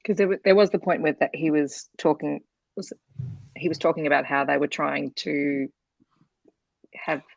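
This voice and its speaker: Low-pitched, creaky-voiced woman, very dry sound. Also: steady tone, normal speed, long pauses, no echo, dull audio. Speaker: co-host of a book discussion livestream. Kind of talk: casual chat.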